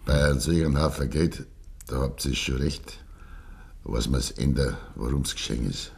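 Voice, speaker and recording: An actor playing a stubborn, grieving old farmer with a Bavarian accent and a gruff, deliberate manner, in a 1950s German dialect radio play. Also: male voice, extremely low pitch, a little mellow, heavily acted. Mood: gruff, resigned. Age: older. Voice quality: gravelly, husky.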